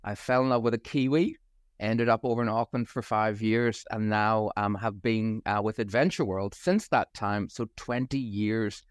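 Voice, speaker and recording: A middle-aged man from Northern Ireland with a softened Belfast accent, speaking as a guest in a podcast interview, enthusiastic and fluent with a few fillers. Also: fairly low pitch, moderate pace, clear, resonant tone, no echo, studio quality. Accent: Northern Irish, softened by years abroad. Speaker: travel company managing director, guest.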